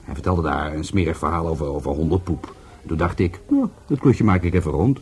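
A man's voice: low voice, a little fast, a little mellow, husky, full character voice. An actor playing a cheeky, wary man under police questioning in a radio drama, sardonic and defiantly unrepentant. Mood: sullen explaining. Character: cheeky, wary male murder-case witness, defiantly unrepentant.

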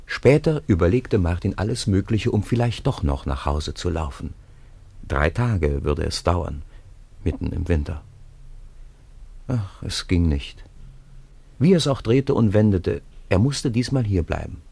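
A middle-aged man calmly narrating a radio drama in a studio, with measured, gently solemn delivery. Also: low voice, vocal fry, slightly rough. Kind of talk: scripted storybook narration.

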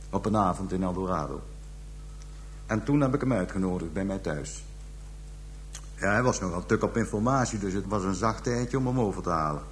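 A man's voice: lowish voice, a little hiss or hum, natural voice. Een man als kille, grofgebekte pooier met lage stem. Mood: cold, self-justifying. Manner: halting, hesitant storytelling, coarse slang. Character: callous Dutch pimp and trafficker confessing to police. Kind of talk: radio drama acting, interrogation scene.

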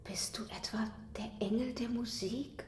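A woman playing a young, impressionable opera singer, enraptured and fearful, in a breathy, intimate radio drama style. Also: fairly high pitch, steady tone, a little slow, faint music behind, close to an everyday voice. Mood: awed, wondering. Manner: breathy, intimate, slipping into hushed whispers. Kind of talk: German radio drama acting.

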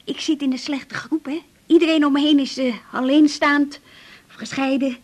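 A woman's voice: high-pitched, steady tone, normal speed, close to an everyday voice. An actress playing a chatty, lovestruck but resigned woman patient, confiding and hesitant, in a naturalistic radio drama.

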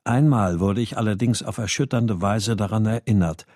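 An actor playing a troubled husband and writer, narrating a literary radio drama in a measured, sombre first person. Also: male voice, on the low side, a little mellow, no echo, clean studio sound, lightly acted. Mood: sombre, reflective. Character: troubled husband, a writer, recounting his story.

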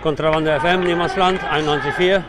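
A man's voice: fairly high pitch, fairly even intonation, a little fast. A man reporting live for radio from outdoors, giving a spontaneous running commentary as the show's host. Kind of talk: live on-location radio reportage from a street protest. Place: outdoors on a city square at a protest. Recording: noisy outdoor street recording amid a crowd. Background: loud crowd, street and vehicle noise.

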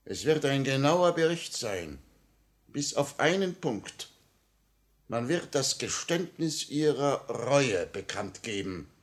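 Suave official villain, 1950 radio drama. Unhurried, animated intonation, silky menace. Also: male voice, medium pitch. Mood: smooth menace. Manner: suave, mocking politeness. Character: menacing, smugly courteous police minister, patient manipulator. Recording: vintage 1950 radio recording.

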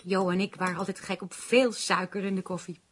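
An actress playing an attentive, chatty hostess in a radio drama, homely and a little insistent. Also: female voice, fairly low pitch, fairly even intonation, a little fast, a little hiss or hum, natural voice. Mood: friendly. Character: attentive, chatty woman hosting over coffee.

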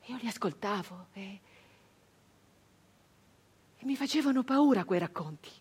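An actress performing a theatrical radio monologue as a wistful, dreamy peasant woman recalling her girlhood, with halting repetitions and a breathy delivery that moves between whispers and fervour. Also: mid-range voice, expressive, darkish tone. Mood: hushed, uneasy. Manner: halting repetitions, dropping into breathy whispers and swelling to fervent cries. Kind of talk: theatrical radio monologue, dramatic first-person storytelling.

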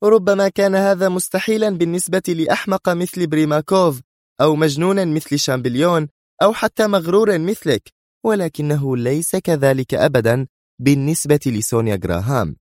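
A man voicing a fierce, domineering female spy villain, stern and sharp-tempered, in an audiobook thriller. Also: a little high, brisk, no echo, studio quality, voice pushed up, close to an everyday voice. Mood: stern, boastful.

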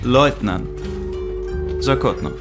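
An actor playing a curt, commanding army lieutenant in a radio play, giving short orders with suspicion. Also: male voice, medium pitch, animated, takes it slowly, over music. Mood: stern, suspicious. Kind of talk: German radio play acting.

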